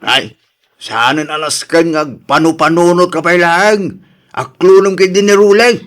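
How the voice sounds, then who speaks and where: A man's voice: on the high side, unhurried, a bit bright. A man with a Filipino accent playing a stern, hot-tempered father who scolds emphatically in a radio drama. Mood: exasperated. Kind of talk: Ilocano radio drama acting.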